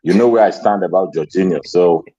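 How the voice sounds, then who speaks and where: A man joining a fan chat as a guest, speaking slowly and measuredly. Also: low-pitched, mellow, lo-fi sound. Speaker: guest panelist.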